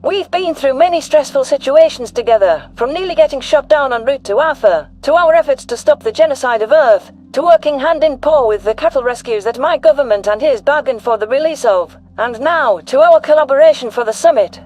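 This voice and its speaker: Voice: sits high, animated, a little fast, hardly any pauses, a little mellow, faint music behind, pitched above the performer's own voice. A narrator voicing a female alien leader who speaks earnestly and emphatically in debate. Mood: earnest, proud.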